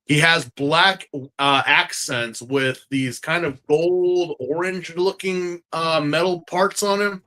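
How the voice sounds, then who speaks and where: A man hosting a casual podcast review, animated and slangy, repeating phrases for emphasis. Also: a little high, takes it slowly, hardly any pauses. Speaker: podcast host.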